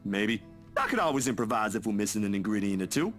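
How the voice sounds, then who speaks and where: A man's voice: lowish voice, big pitch swings, quick pace, a bit bright, faint music behind. An actor playing an easygoing, caring young man in a visual novel, talking casually and teasing a friend in a folksy way. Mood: playful, teasing.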